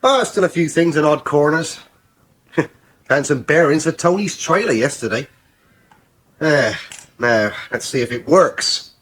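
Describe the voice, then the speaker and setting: An actor playing a hard-up, proud farmer, blunt and plain-spoken in a radio drama. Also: male voice, a little high, animated, a little slow, long pauses, a bit bright, slightly rough, full character voice. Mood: brisk, practical. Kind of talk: naturalistic radio drama acting. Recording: faintly noisy 1980s radio drama.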